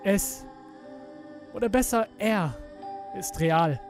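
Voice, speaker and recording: A young man acting a guilt-ridden, haunted twenty-year-old who gives a halting, emotional confession in a horror audio drama. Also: high voice, animated. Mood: desperate, haunted. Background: music with violin.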